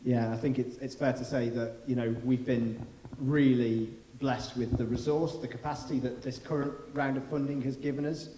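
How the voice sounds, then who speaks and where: A British man moderating a panel, mid-pitched and unhurried, pausing and restarting as he thinks aloud. His voice rings through a large echoey hall with a long reverb tail. A faint hiss sits underneath. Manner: relaxed, hesitant, thinking aloud. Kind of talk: chairing a round table.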